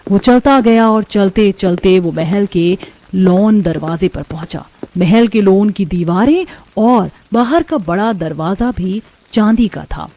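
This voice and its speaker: Mellow woman narrating a horror story. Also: medium pitch, takes it slowly.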